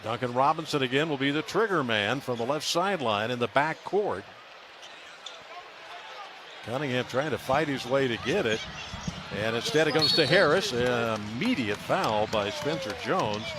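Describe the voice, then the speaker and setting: A man's voice: mid-range voice, expressive, measured pace. A man calling live basketball play-by-play on the radio, emphatically announcing scores and plays. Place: large indoor basketball arena. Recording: noisy arena broadcast. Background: loud arena noise, bouncing basketball.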